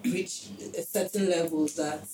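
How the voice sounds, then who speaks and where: A young woman talks candidly and a little wistfully, pausing on fillers as she thinks. Her voice sits somewhat low. The sound carries a roomy echo with steady background noise. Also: recorded from a distance. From Nigeria. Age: young adult. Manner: reflective, candid, trails off with fillers. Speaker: podcast guest. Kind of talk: casual chat. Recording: echoey room with background noise.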